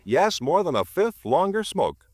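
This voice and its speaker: A man's voice: on the high side, sing-song, unhurried, darkish tone, no echo, studio quality. An American man announcing a 1950s radio crime show in a formal, dramatic, emphatic style. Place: radio studio. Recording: 1950s radio broadcast, music behind.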